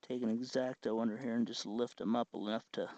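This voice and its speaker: Male tutorial host, folksy and informal, mid-pitched voice, explains step by step on a slightly dull recording. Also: steady tone, fairly quick, background noise. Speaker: host of a hobby electronics tutorial. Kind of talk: informal hands-on tutorial narration.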